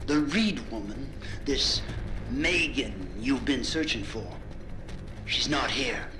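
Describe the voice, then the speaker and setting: A man's voice: mid-range voice, lively intonation, slow pace, noisy background. An actor playing a ruthless, controlled military commander in a video game, a man who taunts with clipped self-assurance. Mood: cold menace. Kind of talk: video game dramatic acting. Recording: loud music behind the voice.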